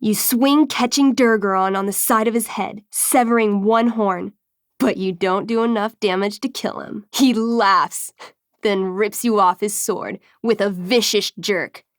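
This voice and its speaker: A woman voicing a friendly, theatrical teenage girl who runs a tabletop fantasy game, expressive and wry, in a video game. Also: medium pitch, unhurried, no echo, clean studio sound, close to an everyday voice. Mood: dramatic, suspenseful. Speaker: game master. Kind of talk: dramatic tabletop storytelling and casual chat.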